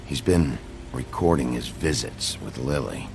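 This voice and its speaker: A man playing a gruff, weary detective in a dark fantasy video game, blunt and terse. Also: wildly swooping pitch, a little slow, exaggerated voice. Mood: grim. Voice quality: gravelly. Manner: blunt, low-key, terse. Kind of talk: dramatic video game acting. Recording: faint rain noise behind the voice.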